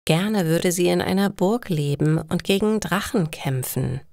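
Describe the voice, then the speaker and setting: A woman's voice: fairly low pitch, animated, a little slow, gravelly, darkish tone, no echo, clean studio sound. A woman reads simple scripted stories for language learners in careful, separated phrases. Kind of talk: scripted storytelling narration for learners.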